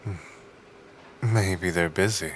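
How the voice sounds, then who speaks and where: A man playing a quiet, earnest, long-lived nonhuman character in an audio drama, reserved and understated. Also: sits low, slow, a little hiss or hum. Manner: reserved, understated, brief replies. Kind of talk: naturalistic audio drama acting.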